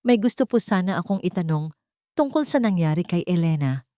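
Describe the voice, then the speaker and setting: A woman's voice: lowish voice, lively intonation, fairly quick, warm tone, no room sound, natural voice. A woman narrator plays an earnest, insistent Filipino lawyer, a protective brother in an audio story. The delivery is close and dry, with no room sound. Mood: polite probing.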